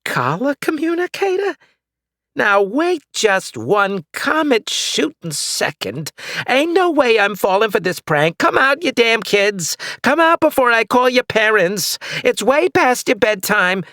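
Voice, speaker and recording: A man's voice: extremely high pitch, big pitch swings, measured pace, bright, forceful tone, no room sound, clean studio sound, voice pushed up, exaggerated voice. A man voicing a foul-mouthed, suspicious old woman neighbor who curses and mutters. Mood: cranky cursing.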